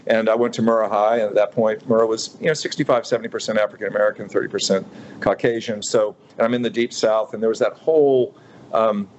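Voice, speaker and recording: A man in his fifties from Utah, a restaurateur speaking as a guest in a radio interview, thoughtful and halting with many fillers and restarts. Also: fairly low pitch, fairly even intonation, a little mellow, muffled recording, a little hiss or hum. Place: radio studio.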